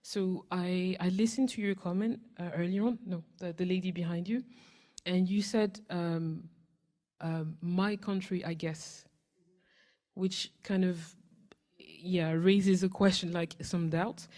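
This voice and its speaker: A woman's voice: lowish voice, steady tone, slow, warm tone. A woman from France who has lived in the UK for years, asking a rambling question from the audience and laughing between phrases. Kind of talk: question from the floor.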